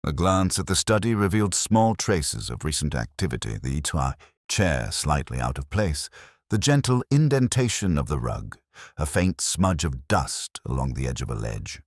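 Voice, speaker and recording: A man playing a contemplative, observant detective inspector who narrates his case in measured, formal, literary language. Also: a bass voice, sing-song, no echo, clean studio sound, heavily acted. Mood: reflective, methodical. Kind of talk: scripted first-person detective story narration.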